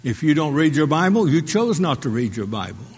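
A man preaching a sermon as pastor, asking the congregation rhetorical questions and pausing for their response. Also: a little high, sing-song, husky. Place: church sanctuary. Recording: long hall reverb.

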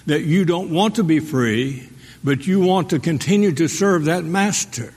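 Man, pastor, sermon, slightly husky, long church reverb. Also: on the high side, a little mellow. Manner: call-and-response preaching, rhetorical questions. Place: church sanctuary.

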